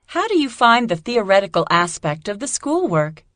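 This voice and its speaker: Actress as curious, friendly student: very varied intonation, scripted exam dialogue, no room echo. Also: female voice, medium pitch, sing-song, moderate pace, a bit bright. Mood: curious, encouraging. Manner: clearly enunciated, scripted. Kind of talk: scripted dialogue for an English listening test.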